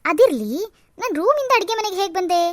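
Woman as a meek, dazed young bride; high, quick, dry. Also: lively intonation, no echo. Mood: confused. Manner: dazed, pleading. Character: young confused daughter-in-law, meek.

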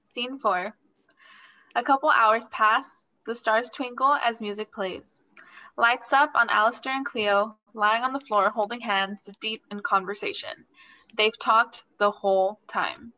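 A young woman narrates stage directions from a script. Her voice is bright and fairly high. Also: fairly even intonation, unhurried, lo-fi sound.

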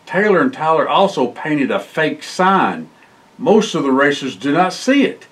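An older man reading a children's picture book aloud in an expressive way, with exclamations and short asides. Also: fairly high pitch, a little slow. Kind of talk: storybook read-aloud for children. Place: indoor library room, seated by bookshelves.

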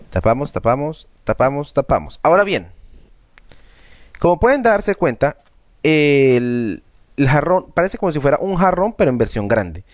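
Colombian man, chatty gameplay commentary, mid-pitched voice, dry close sound. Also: no room sound. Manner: chatty, joking, addresses viewers as buddies. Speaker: gaming YouTuber.

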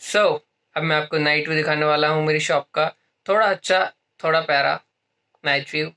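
Young male shop-tour vlogger, cheerful, phone at arm's length, bright tone. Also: fairly high pitch, flat delivery, moderate pace. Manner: upbeat, mixes English into Hindi. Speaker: vlogger showing his shop. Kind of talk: casual vlog chat. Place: inside a small electronics shop.

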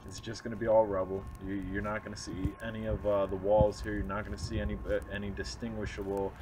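A man narrating his vlog outdoors, earnest and reflective, often pausing and restarting. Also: lowish voice, flat delivery, background noise. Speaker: urban explorer vlogger. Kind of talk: casual walk-and-talk narration. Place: outdoors at a snowy demolition site.

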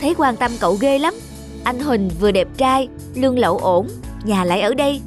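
A woman's voice: fairly high pitch, lively intonation, brisk, soft background music, natural voice. A woman voicing a chatty, teasing young female coworker in a cartoon, playful and gossipy. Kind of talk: cartoon dubbing.

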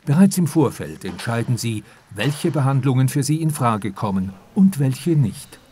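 A man reading scripted documentary narration in a calm, even way. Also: medium pitch, moderate pace, a little hiss or hum.

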